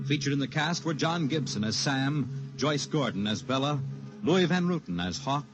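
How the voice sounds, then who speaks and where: A man's voice: mid-range voice, lively intonation, moderate pace. A man delivers scripted announcements in the dramatic manner of 1950s radio. His mid-range voice moves animatedly over prominent music. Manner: formal, dramatic enunciation. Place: broadcast studio, music behind.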